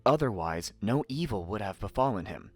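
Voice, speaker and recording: A man narrating a fairy tale in an expressive, theatrical storytelling manner. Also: fairly low pitch, moderate pace, soft background music.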